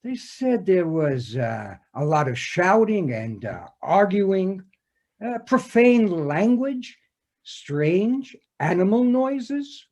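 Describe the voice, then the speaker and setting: A man reads a patient police detective who stops often to hesitate. His voice is mellow and unhurried, with a lively rise and fall in pitch. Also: full character voice. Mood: probing. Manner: halting, full of "uh" fillers. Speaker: reader in an amateur readers theater performance. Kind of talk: comic readers theater scene read over a video call. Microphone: home video call mic.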